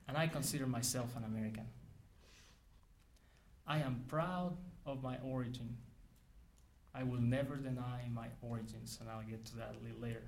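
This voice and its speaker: A man from Mexico, giving an academic lecture in an earnest, measured way with frequent restarts. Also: mid-range voice, darkish tone, slight background noise.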